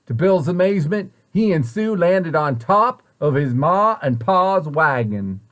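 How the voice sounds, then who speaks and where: A man reading a children's picture book aloud with expressive, deliberate storyteller phrasing. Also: a little high.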